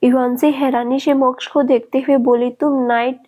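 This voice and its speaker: A woman narrating a scripted family story at a steady, even reading pace. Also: high-pitched, normal speed.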